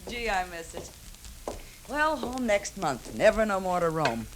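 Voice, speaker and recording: A woman's voice: mid-range voice, very animated, unhurried. A woman playing a friendly, flirty, homesick American neighbour in a 1950s radio drama, talking in breezy, slangy, clipped phrases. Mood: breezy, inviting. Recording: 1950s radio recording, hissy background.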